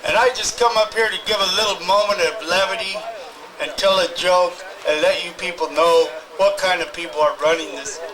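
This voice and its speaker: A man's voice: high voice, steady tone, a little fast, rough recording. A man telling a folksy joke to a rally crowd. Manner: folksy storytelling.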